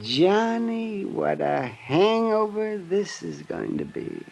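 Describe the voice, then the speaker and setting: Jolly old drunk, slow drawn-out talk, voice swoops around, 1950s radio show. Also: male voice, high voice, very animated, vocal fry, full character voice. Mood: groggy. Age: older. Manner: chuckling, drawn-out, wheedling. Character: boozy old man, jolly, naive and childlike. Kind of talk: 1950s radio drama acting. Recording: old radio recording with music.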